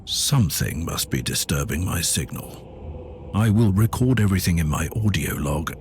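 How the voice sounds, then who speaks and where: Deep-voiced, solemn inquisitor. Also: male voice, animated, background noise. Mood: concerned, puzzled. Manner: solemn, formal, measured. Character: grave, devout male inquisitor psyker investigating a derelict fortress. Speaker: video game protagonist. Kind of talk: scripted game dialogue and audio log narration.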